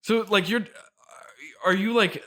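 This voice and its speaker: A man's voice: high voice, measured pace, bright, forceful tone. A man hosting a casual comedy interview in a hesitant, puzzled, understated way. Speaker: interview host.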